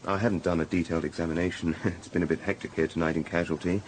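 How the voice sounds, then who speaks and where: An actor plays a candid British hospital doctor in a radio drama. He is a man with a fairly low voice who talks plainly and conversationally, with faint ambience behind him. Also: fairly even intonation, fast, a little hiss or hum, natural voice. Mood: matter-of-fact. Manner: matter-of-fact, conversational. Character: brisk, candid male hospital casualty doctor. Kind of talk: naturalistic radio drama acting.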